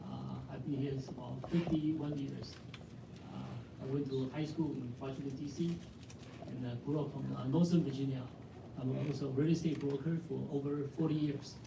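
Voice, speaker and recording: An older man from Taiwan, a real estate broker, introducing himself at a meeting in a halting way with many fillers. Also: mid-range voice, steady tone, gravelly. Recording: echoey room, distant mic, background noise.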